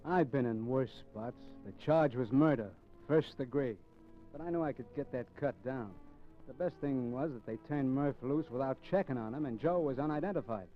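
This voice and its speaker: A man's voice: medium pitch, normal speed, lightly acted. A man acting a hard-boiled, cocky criminal in a fifties radio thriller. He talks in sardonic street slang with a schemer's confidence, on a dull vintage recording with music in places. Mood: cynical narration. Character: cocky, cynical, scheming male crook narrating his crime. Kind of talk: 1950s radio crime drama acting. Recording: muffled old 1950s radio recording.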